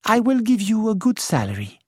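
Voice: medium pitch, wildly swooping pitch, fairly quick, husky, no room sound, clean studio sound, pitched above the performer's own voice. A narrator voicing a fussy elderly Belgian male detective who speaks formally and precisely. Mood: courteous. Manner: formal, polite, precise phrasing.

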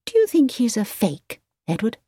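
A woman voicing a quick, playful young woman, with a lively delivery. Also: on the high side, slightly rough, no echo, studio quality, voice pushed up, exaggerated voice.

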